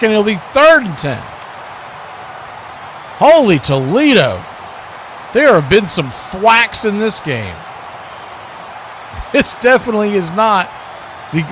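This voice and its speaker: An American man giving live play-by-play football commentary in a folksy, animated way, full of homespun exclamations and bursting into loud calls. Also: high-pitched, slight background noise. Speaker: play-by-play sports commentator on a radio watch party.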